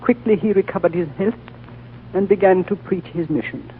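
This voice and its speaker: A man acting an ancient, courtly High Lama, thin old-radio sound. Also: natural voice. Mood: solemn storytelling. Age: older. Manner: slow, courtly, measured storytelling. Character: ancient, gentle, solemn High Lama of a hidden lamasery. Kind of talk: 1940s radio drama acting. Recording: old narrow-band radio recording, faint noise.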